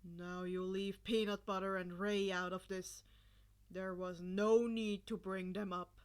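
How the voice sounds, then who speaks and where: A weary, reserved man in an audio story, performed by a woman. The voice is pitched down and unhurried. He sounds deadpan and nonchalant, keeping everything low-key. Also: clear, resonant tone, close to an everyday voice. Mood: defensive.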